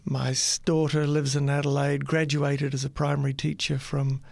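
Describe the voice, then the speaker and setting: A New Zealand-born man in his fifties, a college dean, answering interview questions in a measured, modest way in a studio. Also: medium pitch, monotone, gravelly, dry recording. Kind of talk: conversational interview.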